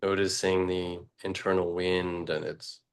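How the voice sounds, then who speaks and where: A man leading a guided meditation, speaking slowly and haltingly with long pauses and fillers. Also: clean tone. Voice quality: very low, flat voice. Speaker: meditation teacher.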